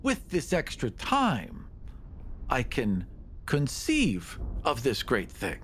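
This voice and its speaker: A man playing a proud, scheming mortal petitioner in a tabletop fantasy game, with a theatrical, halting delivery. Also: medium pitch, wildly swooping pitch, a bit bright, slight background noise, heavily acted. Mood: pompous stalling. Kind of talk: tabletop roleplay acting.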